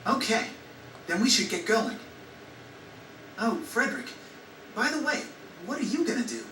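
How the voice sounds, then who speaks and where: A man's voice: sits high, big pitch swings, normal speed, pushed and bright, a little hiss or hum, full character voice. A man playing an easygoing, reassuring teenage boy adventurer in a video game dub. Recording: distant roomy game audio, faint music.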